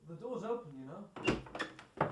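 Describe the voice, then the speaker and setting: Casting: rattled young English varsity gentleman, evasive, well-mannered, jumpy wide intonation, radio play. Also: high voice, expressive, normal speed, noisy background. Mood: uneasy. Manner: polite, evasive, shaky reassurance. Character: agitated young gentleman athlete hiding his distress. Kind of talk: audio drama acting.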